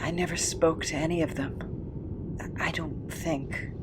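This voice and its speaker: An actor playing a weary, haunted former captive in an audio drama, recounting a cruel past in a halting, measured way. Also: mid-range voice, steady tone, heavy background noise, lightly acted. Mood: subdued, uncertain.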